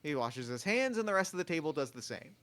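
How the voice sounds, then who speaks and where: A man's voice: a little high, very animated, fast talker, a bit bright. A man narrating scripted stage directions at a comedic table read.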